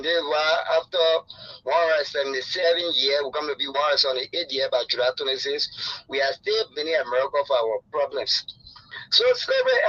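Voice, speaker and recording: A man with a Liberian accent calling a talk show, making opinionated political points deliberately and rephrasing them as he goes. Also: fairly high pitch, fairly bright tone. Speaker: phone-in caller. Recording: dull lo-fi call audio.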